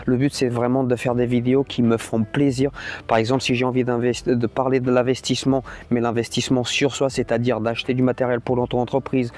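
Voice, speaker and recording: A man's voice: medium pitch, level tone, brisk, darkish tone. A man whose native language is Portuguese, talking in a casual, unscripted vlog in a rambling, blunt and colloquial way. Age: adult, parent. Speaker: YouTuber, host.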